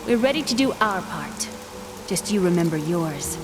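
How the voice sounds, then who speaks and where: A woman voicing a capable ally who speaks quickly and firmly. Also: fairly low pitch, lively intonation, close to an everyday voice. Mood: resolute. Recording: game mix with rain noise.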